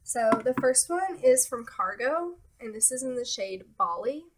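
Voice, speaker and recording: A woman's voice: sits high, measured pace, breathy edge. Chatty young woman, slightly stuffy. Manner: relaxed, hesitant, many fillers and trailing asides. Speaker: beauty vlogger. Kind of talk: casual product talk to camera.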